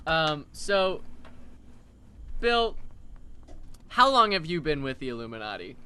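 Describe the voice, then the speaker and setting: A man hosting an improvised comedy show, chatting in an animated, rambling, mock-exasperated way. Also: fairly high pitch, slow, smooth.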